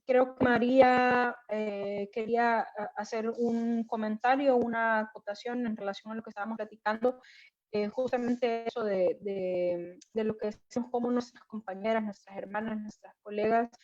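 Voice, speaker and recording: A woman's voice: on the high side, fairly even intonation, moderate pace, darkish tone. A woman moderates an online panel, courteous and a little hesitant as she passes the floor to others. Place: online video call. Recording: dull lo-fi online call audio.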